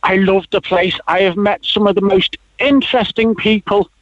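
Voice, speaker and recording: A man rambles cheerfully on a radio call, his voice sitting high for a man. The line sounds dull and dry, without any room echo. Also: moderate pace. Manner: rambling, chatty, full of "you know" and "right". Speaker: caller. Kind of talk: phone-in call.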